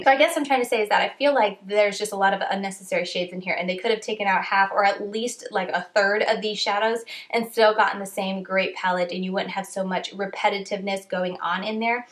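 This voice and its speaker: A woman's voice: mid-range voice, fast. A young woman with a stuffy nose from a cold, chatting casually through a makeup review. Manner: chatty, rambling with many "like"s. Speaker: beauty vlogger.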